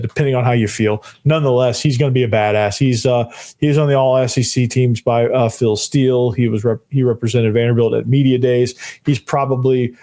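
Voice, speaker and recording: A young man with a hoarse, fading voice hosting a solo sports podcast, fired up and rambling, repeating himself and tossing in "man" a lot. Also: medium pitch, mellow. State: losing his voice, hoarse. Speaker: podcast host.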